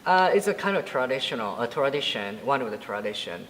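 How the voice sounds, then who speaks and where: Japanese-accented young man, halting answers. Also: mid-range voice, big pitch swings, a bit bright, big-room sound, a little hiss or hum, low-quality recording. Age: 20s. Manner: halting, many fillers and restarts. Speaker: Buddhist priest. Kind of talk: interview answers.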